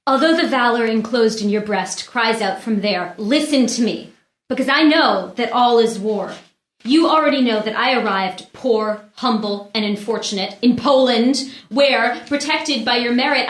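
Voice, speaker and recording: A woman's voice: a little high, normal speed, natural voice. An actress playing a proud, wronged young noblewoman in a read-through of a classical play, with earnest, declamatory delivery. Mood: impassioned pleading. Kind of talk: unrehearsed stage play reading. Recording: roomy echo.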